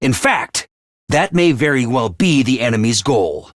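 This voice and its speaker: A man voicing a brisk, ruthless academy commander in a video game, barking orders and announcements. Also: mid-range voice, expressive, no echo, clean studio sound, close to an everyday voice. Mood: analytical. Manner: clipped orders and announcements, drill-sergeant bark. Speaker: voice actor in a game.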